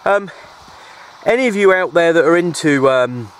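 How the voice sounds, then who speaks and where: A man's voice: on the high side, animated, normal speed. British man in noisy outdoor recording. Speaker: CB radio hobbyist vlogger. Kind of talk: casual outdoor vlog chat. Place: outdoors at a windy makeshift shelter.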